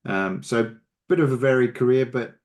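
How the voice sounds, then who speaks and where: A middle-aged or older man, answering casually as an interview guest, pausing and correcting himself. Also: mid-range voice, darkish tone. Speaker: leadership coach, podcast guest.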